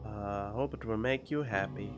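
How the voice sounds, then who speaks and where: A man's voice: lowish voice, moderate pace. A man giving casual let's play commentary as a game streamer, musing and thinking aloud into a close mic. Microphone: close studio condenser microphone. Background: faint game music.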